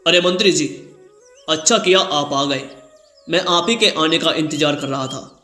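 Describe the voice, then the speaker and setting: Old cartoon king, man acting: fatherly, formal, measured, highish crisp voice with some echo. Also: bright, forceful tone, soft background music. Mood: resolved. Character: old male king, fatherly, deliberate and grave.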